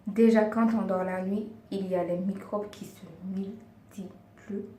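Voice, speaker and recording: A woman's voice: medium pitch, steady tone, a little slow, dark tone. A woman giving earnest, coaxing beauty advice in a casual vlog, speaking a second language and sometimes searching for words. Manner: earnest, coaxing, often addresses her viewers as girls. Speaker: beauty and lifestyle vlogger. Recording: roomy echo, distant mic, faint background hiss.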